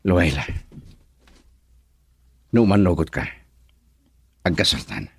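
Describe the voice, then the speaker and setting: A man's voice: low voice, slow pace, breathy edge, dry recording, full character voice. A Filipino man playing an earnest, resolute young suitor in a radio drama, sober and sincere. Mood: serious.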